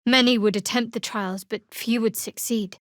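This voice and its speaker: Woman acting a young half-elf dark cleric, fairly high voice, guarded, dryly wry, slightly haughty, measured, video game dialogue, very dry studio sound. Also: fairly even intonation, no room sound, close to an everyday voice. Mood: earnest, reflective. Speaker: video game character voice actor. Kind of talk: scripted fantasy game dialogue.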